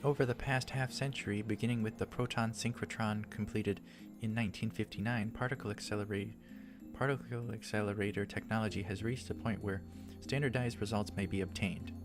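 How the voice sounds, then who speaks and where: A man's voice: on the low side, steady tone, fairly quick, music in the background, voice pushed up, lightly acted. A man voicing a serious young woman scientist from a visual novel, reciting a report formally. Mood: grave, formal.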